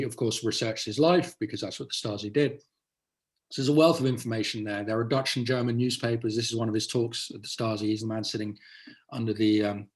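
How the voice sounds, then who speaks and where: A male author giving a talk about his book in an anecdotal, explanatory style. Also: medium pitch. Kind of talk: presenting to an audience, anecdotal.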